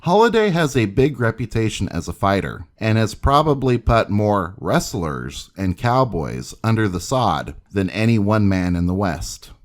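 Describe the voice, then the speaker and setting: A man narrating scripted history in a measured, dramatic storytelling manner, as if reading an old newspaper account aloud. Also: fairly low pitch, very animated.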